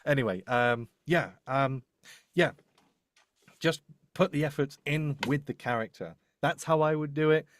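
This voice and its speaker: A man's voice: a little high, measured pace, dry recording, studio quality. A man giving casual professional advice as a demo producer in a live discussion, often correcting himself and repeating phrases. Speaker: voice-over demo producer and host.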